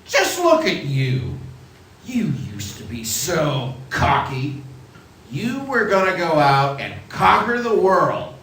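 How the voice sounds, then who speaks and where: A man's voice: fairly high pitch, huge pitch swings, slow pace, a bit bright, heavily acted. A man playing a cold, gloating old rich miser, sneering and mocking in an old-time radio play. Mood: scornful. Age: older. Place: theatre stage. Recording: very echoey theatre, distant mic, faint noise.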